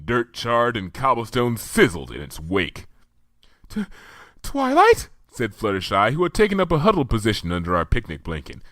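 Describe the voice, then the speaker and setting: A man reading a comic fan story aloud in an animated way, doing many voices. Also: on the low side, fairly quick, hardly any pauses, no echo, clean studio sound. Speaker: reader.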